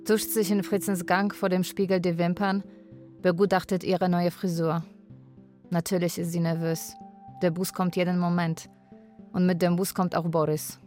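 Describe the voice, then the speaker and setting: Actress as carer lady, low flat voice, wistful, frank, radio drama, bit of music under it. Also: moderate pace, natural voice. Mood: narrating, matter-of-fact. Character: Polish live-in carer, woman, wistful and frank. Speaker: actor. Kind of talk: radio drama narration and dialogue.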